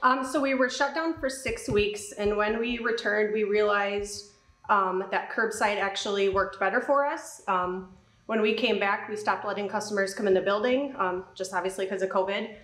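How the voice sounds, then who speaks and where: A young woman who owns a grooming salon, answering interview questions casually with many pauses and ums. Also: medium pitch, a bit bright, roomy sound. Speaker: salon owner. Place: pet grooming salon, indoors.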